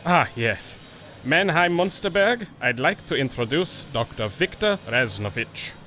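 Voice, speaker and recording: An actor playing a proud, confident German baron and war officer, suave and commanding with a German accent in a radio drama. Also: male voice, a little high, sing-song, unhurried, sharp tone, a little hiss or hum, heavily acted. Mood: courteous, commanding. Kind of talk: radio adventure drama acting.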